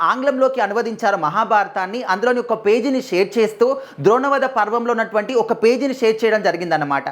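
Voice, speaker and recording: A woman presenting entertainment news commentary in an emphatic, conversational explainer style, often repeating her phrasing. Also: fairly high pitch. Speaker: presenter of film news videos.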